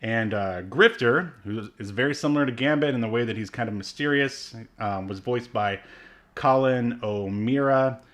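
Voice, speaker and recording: A man's voice: medium pitch, moderate pace, fairly bright tone. A man co-hosting a podcast, chatting casually and rambling with fillers and small laughs. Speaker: podcast co-host. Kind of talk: casual chat.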